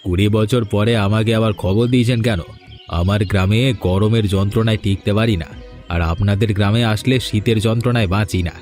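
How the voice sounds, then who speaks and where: Low-voiced scheming village man played by an actor. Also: steady tone, brisk, a little mellow, soft background music, lightly acted. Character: threatening, scheming village man, plotting against old woman. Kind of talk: cartoon fairy-tale voice acting.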